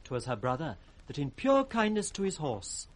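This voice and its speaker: Casting: riddling court fool, lightish high voice, teasing, sing-song, 1960s radio Shakespeare. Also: male voice, huge pitch swings, normal speed. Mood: mocking, teasing. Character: court fool, impudent, riddling, loyal male jester.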